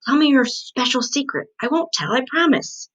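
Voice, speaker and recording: A woman's voice: sits high, lively intonation, moderate pace, fairly bright tone, voice pushed up. Lady doing a nosy pushy little girl from a kids book, really up and down, slightly muffled. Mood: coaxing. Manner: coaxing, insistent. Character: kindergarten girl, a nosy, scolding best friend.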